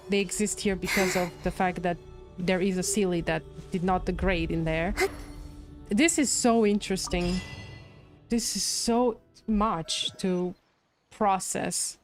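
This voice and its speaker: A woman livestreamer giving casual commentary on a game's story, thinking aloud as she reacts. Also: mid-range voice. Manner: thinks aloud, repeating and musing. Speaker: game livestreamer. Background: game music.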